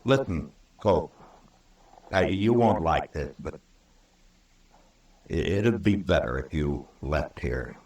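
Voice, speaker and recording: A weary frontier marshal with an extremely low voice. He talks in terse, measured, sardonic lines in old-time radio western style. The recording is roomy and distant. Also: lively intonation, darkish tone, heavily acted. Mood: grave, regretful. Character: stern, weary Old West lawman, male marshal.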